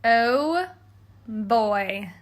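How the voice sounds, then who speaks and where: A woman's voice: on the high side, lively intonation, extremely slow. A woman reading a children's storybook as a haughty, exasperated stepmother who tells her side with dramatic emphasis. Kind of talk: scripted children's storybook read-aloud.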